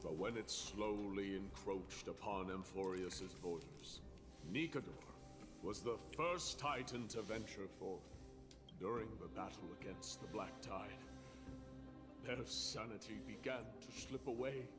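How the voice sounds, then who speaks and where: An actor playing a grave man on a quest for lost divinity in a fantasy game, speaking in a measured, formal, portentous way. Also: lowish voice, music in the background, close to an everyday voice. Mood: grave, reflective. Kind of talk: scripted fantasy game dialogue.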